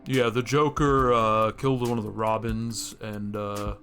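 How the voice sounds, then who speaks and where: A man giving casual, informal, halting commentary while streaming a video game. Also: on the low side, little pitch movement, fairly bright tone. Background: game music.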